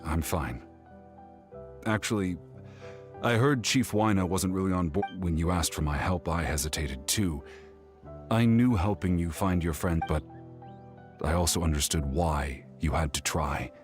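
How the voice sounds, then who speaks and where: An actor playing a weary, regretful warrior in a video game, speaking in an earnest, halting, reflective way. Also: male voice, deep voice, soft background music. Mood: regretful, resolute. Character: weary, regretful warrior man haunted by a lost friend.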